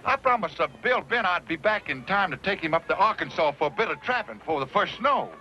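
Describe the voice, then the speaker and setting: An actor playing a folksy, plain-spoken frontier trapper in an American Western, with a rustic backwoods drawl. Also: male voice, high-pitched, lively intonation, fast talker, bright, forceful tone. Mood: fretful. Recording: dull old recording with clear background noise.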